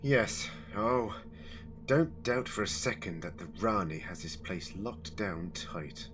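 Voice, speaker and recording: A man's voice: on the low side, lively intonation, takes it slowly, noisy background. A man playing a defiant, sardonic time-travelling alien hero in a sci-fi audio drama, challenging and wry in his delivery. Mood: grimly defiant.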